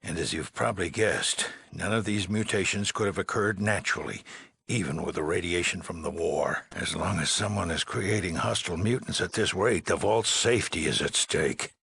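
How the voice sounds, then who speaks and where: An actor playing a worried, authoritative male leader of an underground vault in a video game, with a gravelly, husky voice and a grave, deliberate manner. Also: low-pitched, sharp tone, full character voice. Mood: grave, troubled. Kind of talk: dramatic game dialogue.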